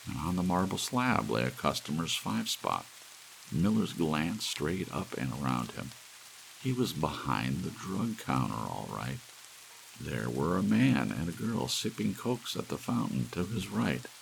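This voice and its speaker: A man reading an audiobook story in a dramatic, hushed storytelling style, as a narrator. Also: deep voice, unhurried, vocal fry, low-quality recording. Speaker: audiobook reader. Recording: steady hiss of soft rain. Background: soft rain.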